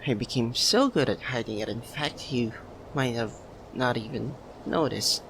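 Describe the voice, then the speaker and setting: A man playing a shy, lovesick young university student, speaking hesitantly in an ASMR roleplay with stammers and pauses. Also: mid-range voice, close to an everyday voice. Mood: confessional, wistful. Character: shy, lovesick young man, nervous childhood best friend. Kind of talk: ASMR roleplay, soft emotional monologue to the listener. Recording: noticeable steady background ambience noise.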